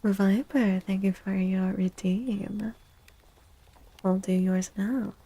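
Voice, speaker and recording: Slow, breathy soft-spoken ASMR woman. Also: medium pitch, steady tone. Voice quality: breathy, often dropping into a whisper. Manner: gentle, slow, drawn-out phrasing. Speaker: livestream host doing tarot readings. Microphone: close microphone.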